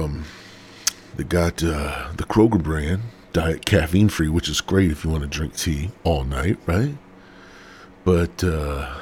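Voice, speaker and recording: Raspy, congested man with a deep voice. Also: darkish tone, a little hiss or hum. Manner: profane, rambling, jokey. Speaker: podcast host. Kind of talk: rambling casual monologue.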